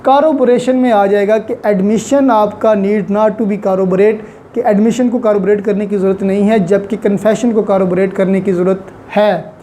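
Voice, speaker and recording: A woman's voice: medium pitch, fairly even intonation, normal speed, warm tone, slight background noise. A woman giving an explanatory lecture in a didactic way, repeating key phrases for emphasis and mixing in English terms. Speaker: law lecturer. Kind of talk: explanatory lecture, Urdu-Hindi mixed with English legal terms.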